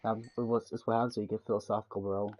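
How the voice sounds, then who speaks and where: A man's voice: fairly low pitch, level tone, fast, darkish tone, slight background noise. A man streaming a game, chatting casually with a friend. He gives short, laid-back replies and swears casually.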